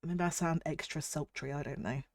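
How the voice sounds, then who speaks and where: Husky British woman with a cold. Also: deep voice, steady tone, a little fast, a little mellow, dry recording. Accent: British English. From United Kingdom. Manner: chatty and rambling, reads chat aloud. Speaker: VTuber streamer. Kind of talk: casual livestream chat.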